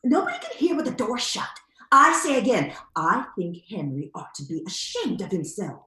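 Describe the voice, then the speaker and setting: An actress playing an indignant, inquisitive middle-aged sister in an old-time radio gothic drama, with pressing, gossipy questions. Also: female voice, medium pitch, fairly bright tone, echoey room, poor audio.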